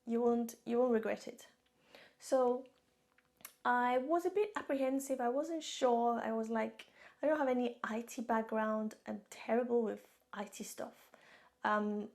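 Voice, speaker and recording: A Nigerian woman in her thirties tells her story straight to camera in a relaxed vlog. She talks in a chatty way, often laughs and goes back over her own words, and she is recorded on a camera-mounted mic. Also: fairly high pitch, gravelly. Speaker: vlogger. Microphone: camera-mounted shotgun mic.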